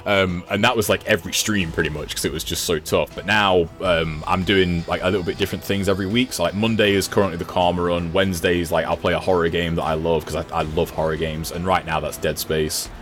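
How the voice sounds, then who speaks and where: A man's voice: low voice, steady tone, quick pace, few pauses, fairly bright tone, soft background music. A man talking casually as a podcast guest and gaming streamer, in a rambling, self-deprecating way. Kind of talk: casual conversational chat.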